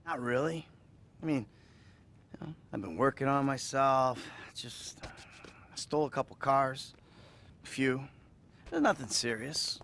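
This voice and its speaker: An actor playing a troubled, foul-mouthed middle-aged American criminal in a video game cutscene, halting and wry. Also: male voice, mid-range voice, lively intonation, vocal fry, fairly bright tone, a little hiss or hum. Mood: evasive, wry.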